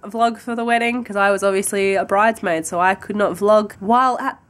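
Husky, sick Australian young woman vlogging. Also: medium pitch, a little fast. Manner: chatty and rambling, with light laughs. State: husky from a cold. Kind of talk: casual vlog chat to camera. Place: at home, indoors, by a window. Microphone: handheld camera close to the face.

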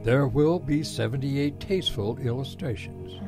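A man's voice: medium pitch, measured pace, over music, close to an everyday voice. A man playing a grumbling, bookish backwoods preacher in an audio drama, with pompous, folksy oratory. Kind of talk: audio drama panel debate.

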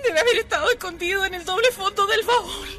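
A woman's voice: very high voice, fairly quick. An actress playing a frantic, grieving, superstitious mother in a radio drama, with a tearful wail. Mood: panicked. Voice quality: wailing, tearful. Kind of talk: melodramatic radio drama acting.

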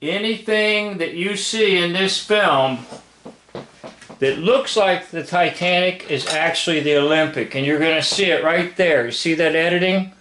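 A man with a high-pitched voice commentates on film footage in an unscripted, emphatic way. He speaks slowly, repeating phrases and checking that the listener follows. The recording is roomy, with clear echo around his voice. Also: a bit bright, a little hiss or hum. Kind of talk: off-the-cuff commentary over old film footage. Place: indoor room, filming a screen. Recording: roomy, echoing home recording.

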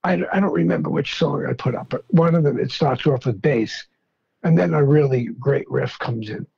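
Older guy in his 60s, gruff husky voice, thick new york accent, dull phone sound. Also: medium pitch, moderate pace, warm tone. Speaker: podcast guest, rock fan. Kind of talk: casual phone conversation. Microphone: phone call.

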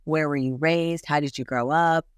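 Low-pitched American woman hosting a podcast. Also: steady tone, moderate pace, smooth, dry recording, clean studio sound. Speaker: podcast host. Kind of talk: casual interview hosting. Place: small podcast studio. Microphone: close studio microphone on boom arm.

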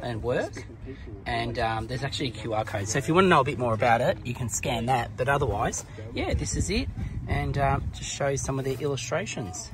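A man's voice: mid-range voice, lively intonation, a little fast. A man in his thirties with an Australian accent, chatting casually as he shows a country town on a walking tour vlog, filmed on a phone held at arm's length. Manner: chatty, easygoing, explains as he films. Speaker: travel vlogger. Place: outdoors in a country town street. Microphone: phone camera at arm's length. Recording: outdoor street noise behind.

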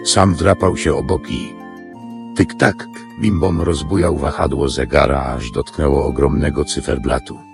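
A man's voice: rumbling low, creaky. A man narrating a scripted fairy tale as the storyteller of an audio drama. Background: music.

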